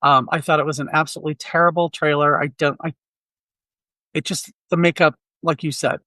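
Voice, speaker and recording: A man's voice: fairly high pitch, fairly even intonation, brisk, pushed and bright, dry recording, clean studio sound. A man co-hosting a film podcast, chatting candidly in a rambling way with many restarts. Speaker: podcast co-host. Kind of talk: casual film chat. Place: Quad City area.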